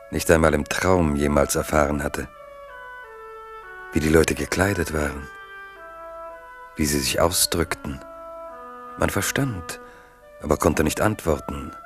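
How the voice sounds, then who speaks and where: Very deep-voiced male narrator. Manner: measured, evocative storytelling. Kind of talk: scripted literary narration in a radio drama.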